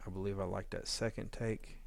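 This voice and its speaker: A man giving an informal editing tutorial, talking haltingly as he thinks aloud while working. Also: low voice, level tone, gravelly. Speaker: audio drama editor giving a tutorial. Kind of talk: informal software walkthrough.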